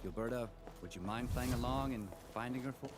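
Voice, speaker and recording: A man's voice: mid-range voice, a little fast, natural voice. A man voicing a kindly, grateful medical bay worker in a video game, earnest and polite. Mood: fond, concerned. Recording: game dialogue over music.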